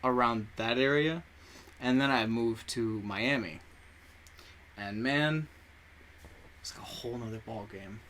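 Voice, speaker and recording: A man's voice: mid-range voice, measured pace, long pauses, a bit bright. A young man whose first language is Spanish, co-hosting a podcast in casual chat and rambling through stories while he often corrects himself. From United States. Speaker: podcast co-host.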